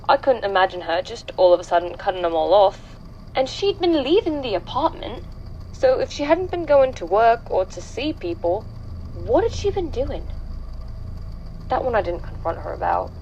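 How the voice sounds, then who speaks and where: A woman's voice: medium pitch, a little mellow, muffled recording, slight background noise. A woman playing an anxious young woman giving a hesitant, conversational account of frightening, uncanny events in a horror audio drama. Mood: troubled, puzzled. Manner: hesitant, conversational, trailing off and self-correcting. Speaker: voice actor in a horror fiction podcast. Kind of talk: naturalistic confessional horror monologue.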